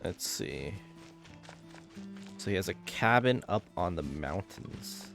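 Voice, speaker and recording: Really deep voiced lady gamer chatting through a playthrough, faint game music behind. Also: animated, slow pace. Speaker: gaming video creator. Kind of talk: casual let's play commentary, reading dialogue.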